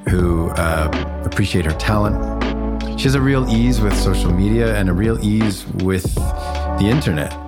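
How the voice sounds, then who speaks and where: Male podcast host, low voice, easygoing chat with fillers. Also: unhurried, over loud music. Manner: relaxed conversational, with fillers. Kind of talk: podcast interview.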